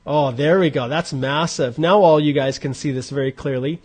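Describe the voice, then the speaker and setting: A man in his forties speaks in a fairly high voice for a man. He hosts a live online question session, walking viewers through a calculator step by step. His delivery is informal and conversational, with restarts as he thinks aloud. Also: normal speed, darkish tone. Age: 40s. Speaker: immigration advisor hosting a live Q&A.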